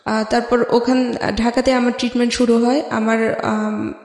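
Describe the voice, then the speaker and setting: An interview guest from Bangladesh telling a personal life story in a hesitant, halting way with frequent pauses. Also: mid-range voice, little pitch movement, gravelly. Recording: echoey hall with long reverb.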